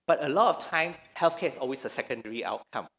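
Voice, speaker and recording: A man's voice: a little high, expressive, fast, a bit bright. A man from Malaysia giving a conference talk, with frequent restarts and hesitations. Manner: hesitant, many restarts and fillers. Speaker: conference speaker. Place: large conference hall. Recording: reverberant lecture hall.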